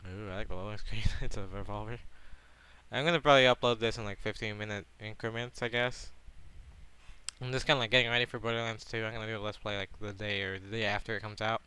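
A man's voice: lowish voice, steady tone, fast talker, bright, forceful tone, smooth, rough recording. An American man giving casual, offhand, joking let's play commentary. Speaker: let's player. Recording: game music behind the voice. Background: game music.

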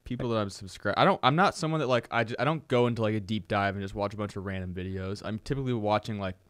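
Low-voiced young man joking on a podcast. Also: fast talker, dry recording, studio quality. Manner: joking, deadpan asides. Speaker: podcast co-host. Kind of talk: casual chat. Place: room with couch, indoors. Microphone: studio mic close up, headphones.

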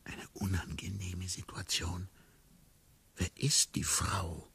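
An actor playing a bewildered amnesiac man in a radio play, musing inwardly in a hushed, wryly detached way. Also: low-pitched, level tone, takes it slowly, vocal fry, husky, heavily acted. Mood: wry unease. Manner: hushed, musing, often near whispering. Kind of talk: radio play inner monologue.

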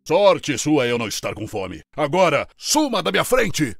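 A man voicing a proud, gruff lion king in a children's cartoon fable, with an imperious, theatrical delivery. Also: fairly high pitch, very animated, normal speed, full character voice. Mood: scornful, menacing.

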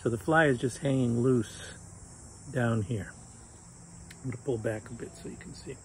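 An older man talks through a fly fishing knot in a relaxed, joking way, chatting as his hands work. His voice sits at an ordinary middle pitch. He is outdoors on a patio, close to the camera, with faint crickets and insects behind him. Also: moderate pace, slight background noise. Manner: chatty and joking, talks while tying knots. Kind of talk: casual how-to demonstration. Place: outdoors on a sunny patio by a fence.

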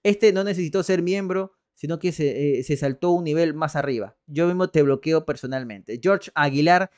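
A young man talks in a fairly high voice through a headset in a small room. He runs a casual political livestream, reading poll numbers with sarcastic jabs and shouting out viewers. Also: moderate pace.

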